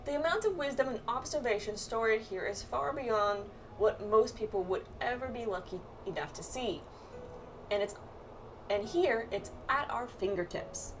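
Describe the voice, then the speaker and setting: Lady reading out a chatty astrologer guide in a fantasy game, breezy n sure of herself, highish, bit off mic w music. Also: normal speed, lightly acted. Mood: proud. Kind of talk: reading video game quest dialogue aloud. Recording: distant mic, music behind.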